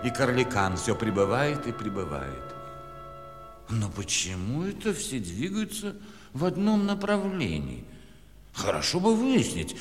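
A curious, courteous male guide in a children's audio play, with music behind him. His theatrical voice is extremely animated and carries a long reverb. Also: high voice, exaggerated voice. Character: curious, genial narrator-guide exploring a fairy-tale city.

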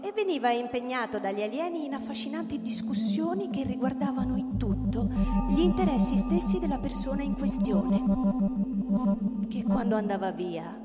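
A woman narrator reading a literary story in measured, storytelling phrases for a radio drama. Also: sits high, animated. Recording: reverberant hall, loud music behind. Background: loud music.

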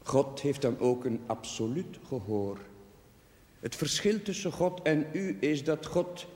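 Guy playing a dry-humored young priest, roomy echo, firm and quick. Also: medium pitch, lively intonation, darkish tone, close to an everyday voice. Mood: teasing. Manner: brisk, wry, firm. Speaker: young village chaplain. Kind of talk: 1970s television drama acting. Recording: echoey room, slight hiss.

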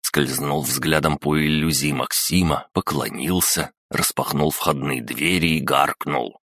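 A man's voice: extremely low pitch, fairly even intonation, a little slow, a bit bright, slight background noise. A man reading an audiobook aloud, narrating the story and giving the characters their lines himself. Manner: reads all characters himself.

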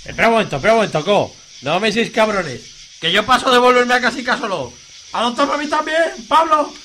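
Actor as a high, bright drunk Aragonese guy. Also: a little fast, a little hiss or hum, full character voice, rough recording. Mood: pleading, indignant. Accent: Aragonese Spanish. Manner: slangy, excitable, blustering. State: acting drunk. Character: drunk, loud, whiny, foul-mouthed young man from Aragon. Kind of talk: comic radio serial acting.

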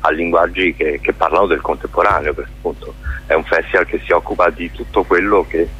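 A man speaking as a guest in a radio interview, talking in a halting way with many fillers and restarts. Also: low voice, steady tone, fairly bright tone, a little hiss or hum. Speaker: festival director guest. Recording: dull lo-fi radio sound.

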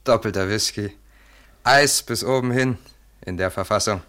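An actor in a German radio play as a gruff, matter-of-fact barman, talking in short casual sentences. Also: lowish voice, moderate pace, fairly bright tone, close to an everyday voice. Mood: laconic. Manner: terse, casual, clipped sentences. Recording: faintly noisy old radio recording.

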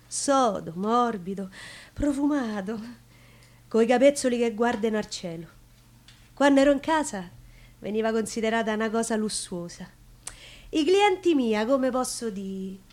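A woman's voice: a little high, darkish tone. An actress playing a proud, nostalgic prostitute with a Roman accent, confiding her story in an earthy theatrical monologue. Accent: Roman Italian.

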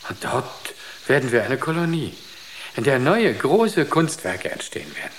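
An actor in a German radio drama playing an entranced artist who speaks with solemn, rapt conviction. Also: male voice, mid-range voice, huge pitch swings, normal speed, slight background noise. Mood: serene conviction. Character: entranced male artist, serenely devoted to an inner voice.

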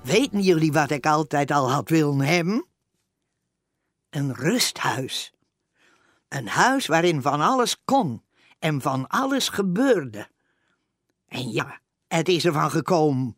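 Radio comedy: nurse-narrator, chatty and expressive, close dry sound. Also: female voice, low voice, slow, pauses often, no echo, heavily acted. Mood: fond storytelling. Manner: chatty, storytelling to listeners. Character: rest-home nurse cheerfully telling her own story. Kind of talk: scripted first-person narration in radio comedy.

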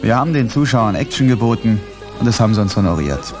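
Actor as assured male show director; interview delivery, slightly deep, prominent music underneath. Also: animated, fast, natural voice. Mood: confident, satisfied. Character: confident, ambitious male football show director. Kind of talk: scripted German radio drama, interview answer.

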